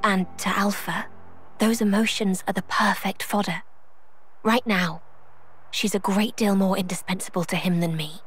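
An actress as a serious young game heroine with a medium-pitched voice. She sounds perceptive and earnest, choosing words with care over faint music. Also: fairly even intonation, moderate pace, husky, close to an everyday voice. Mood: thoughtful, grave. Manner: thoughtful, earnest. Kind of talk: dramatic video game cutscene dialogue.

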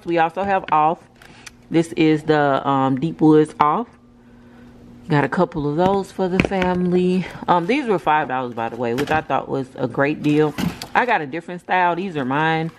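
An American woman in her thirties casually showing off a shopping haul from behind a handheld camera at home. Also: sits low. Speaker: vlogger. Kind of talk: casual haul show-and-tell. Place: indoors at home. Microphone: handheld camera, speaker behind it. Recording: clear background noise and handling clicks.

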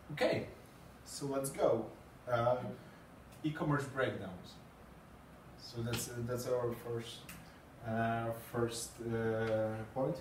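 A man's voice: medium pitch, drawn-out words, a little hiss or hum. A man in his thirties with a Polish accent giving a talk to a small group, hesitant with many fillers and repeated words. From Poland. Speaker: conference speaker. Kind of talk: presentation talk. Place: small meeting room with green walls and a screen. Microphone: far camera, no mic seen. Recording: echoey room from far off.

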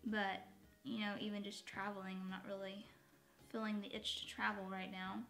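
Woman, thirties, slightly raspy dry voice, rambling chatty get-ready-with-me talk, echoey bedroom. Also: mid-range voice, little pitch movement, moderate pace, fairly bright tone, soft background music. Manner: rambling, self-correcting, with asides. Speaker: beauty vlogger. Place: bedroom at home, indoors. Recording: echoey home room.

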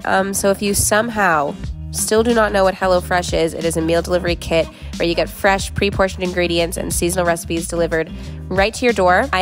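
A woman in her late twenties vlogging casually, sarcastic and chatty, rambling with likes and ums, stressed and near tears while driving. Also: on the low side. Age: late 20s. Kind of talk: casual vlog chat with sponsor read. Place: car interior. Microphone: camera on dashboard.

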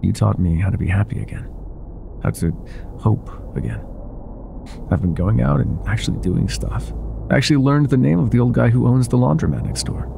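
A man playing an older ex-mafia boyfriend in an intimate ASMR roleplay, speaking softly and affectionately with some hesitation. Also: medium pitch, normal speed, gravelly, background noise. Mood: grateful, tender. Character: older ex-mafia boyfriend, tender, teasing, vulnerable bar owner.

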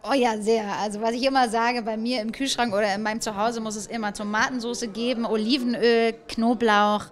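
A woman's voice: on the high side, steady tone, a little fast. A woman in her thirties, an actress raised bilingual in German and Italian, chatting in an interview at an event with fillers and restarts. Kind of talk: casual interview chat. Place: indoor event room, standing by a small table. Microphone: handheld mic held by interviewer.

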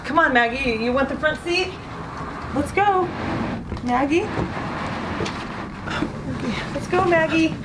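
A woman's voice: high-pitched, takes it slowly, long pauses, very noisy. A woman playing an eager, easily flustered dog walker who chatters and exclaims in a naturalistic comedy-drama style. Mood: peppy.

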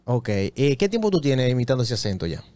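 A man in his thirties co-hosting a casual radio interview, lively and joking, often repeating words, on a studio boom mic. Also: brisk, no room sound. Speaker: radio show co-host. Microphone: studio mic on boom arm.

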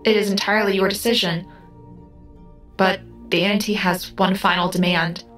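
Woman voicing a formal, calm female AI. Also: mid-range voice, lightly acted. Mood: grave, formal. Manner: precise, formal, measured. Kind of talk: audio drama acting. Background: music.

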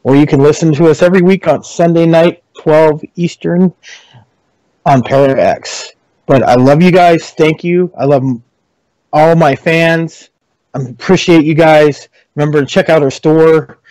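Male co-host, effusive and halting, slightly high voice, dry sound. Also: fairly even intonation, no echo, poor audio. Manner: warm, effusive, loose and halting. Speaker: podcast co-host. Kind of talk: casual sign-off talk.

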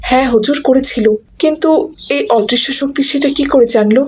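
A woman playing a sceptical, authoritative hostel madam in a cartoon, with a husky voice. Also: sits high, moderate pace. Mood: sceptical, puzzled. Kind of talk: cartoon voice acting.

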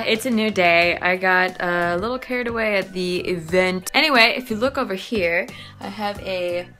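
Bright-voiced woman vlogging in her kitchen. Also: on the high side. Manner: chatty, joking, swears casually. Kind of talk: casual cooking vlog. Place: home kitchen. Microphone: handheld phone camera at arm's length.

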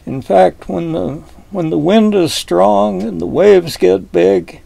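An older man with a folksy, deliberate manner, explaining boat design in a wood-panelled cabin, filmed from a fixed camera across a table. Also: high voice, creaky, mellow, no room sound. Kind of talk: explanatory video lesson.

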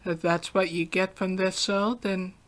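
A young adult woman hosting a podcast, talking through a calm, soft-spoken, reflective solo monologue. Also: lowish voice, a little slow. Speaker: podcast host.

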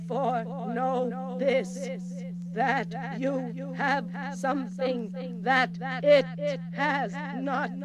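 A woman's voice: sits high, highs cut off, very noisy. A woman playing a stately, ancient celestial guide in an audio drama, speaking with solemn deliberation.

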